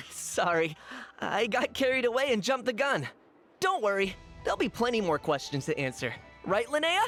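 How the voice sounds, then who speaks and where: Fairly high, easygoing young student. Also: female voice, sing-song, normal speed, fairly bright tone, soft background music. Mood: sheepish, reassuring. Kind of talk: video game voice acting.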